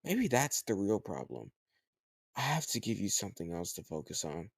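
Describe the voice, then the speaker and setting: A man playing a teasing, slightly older home tutor who gently chides the listener in a conversational, intimate roleplay audio drama. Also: deep voice, very animated, moderate pace, creaky, slightly rough, no room sound, studio quality, full character voice. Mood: teasing, coaxing.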